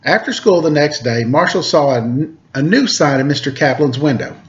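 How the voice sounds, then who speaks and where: A man's voice: on the high side, expressive, normal speed, muffled recording, a little hiss or hum. A man reading a storybook aloud like a teacher, with casual asides.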